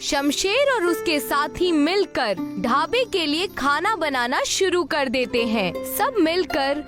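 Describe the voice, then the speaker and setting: A woman's voice: high-pitched, lively intonation, slow pace, music in the background. A woman narrating a scripted story in a storytelling manner.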